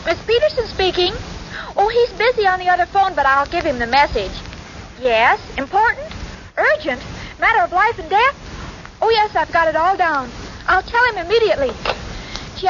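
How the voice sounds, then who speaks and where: A muffled, noisy old radio recording carries a scatterbrained young secretary. Her voice is extremely high. She is guileless and literal-minded, sweetly unbothered in vintage sitcom style. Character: dim-witted, sweetly naive young secretary, unflappably cheerful. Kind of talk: 1940s radio comedy acting.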